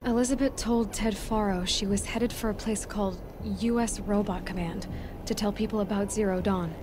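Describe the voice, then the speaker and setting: A woman's voice: medium pitch, steady tone, moderate pace, background noise, lightly acted. An actress playing a determined young female hunter, blunt and questioning. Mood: inquiring. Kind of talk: video game cutscene acting.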